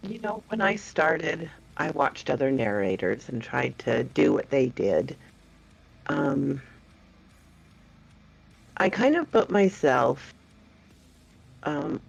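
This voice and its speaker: A woman chats slowly and modestly on a podcast, often breaking into laughter. Her voice has a gravelly fry and swings widely in pitch. The stream audio is muffled, with faint music underneath. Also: big pitch swings. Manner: laughs often, hesitant, self-deprecating. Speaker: podcast guest, online story narrator. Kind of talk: casual chat.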